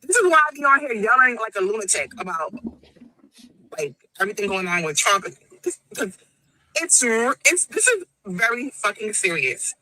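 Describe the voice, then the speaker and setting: A woman's voice: medium pitch, big pitch swings, normal speed, sharp tone, no room sound, rough recording. Angry, cursing West Indian woman. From West Indies. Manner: profane, emphatic, acts out each person in the story. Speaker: woman in a played social media clip. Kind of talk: angry storytelling rant.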